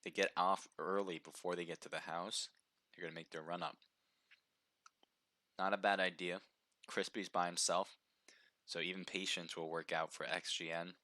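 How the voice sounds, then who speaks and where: Brief: man, deep bright voice, slightly hoarse, upbeat livestream game caster calling the action and greeting viewers. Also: level tone, moderate pace, pauses often, no room sound, studio quality. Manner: chatty, upbeat, reads viewer names aloud. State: slightly hoarse, throat sore. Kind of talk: live esports-style play-by-play commentary.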